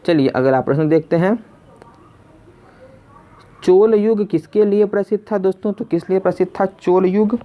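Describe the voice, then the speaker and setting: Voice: mid-range voice, normal speed, pauses often, muffled recording. A teacher narrating an exam lesson in question-and-answer form, repeating questions and addressing listeners as friends. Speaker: quiz teacher.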